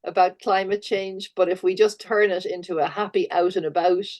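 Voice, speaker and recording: Woman hosting a chat podcast, kinda low voice, encouraging, lots of follow-ups. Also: little pitch movement, moderate pace, darkish tone. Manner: encouraging, affirming, asks follow-up questions. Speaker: podcast host. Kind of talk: casual interview chat.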